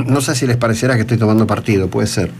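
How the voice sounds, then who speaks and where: A male Argentine radio host with a fairly low voice. He talks in halting phrases and repeats words for emphasis. There is some faint noise behind him. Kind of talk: live radio interview and commentary. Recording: noticeable background noise.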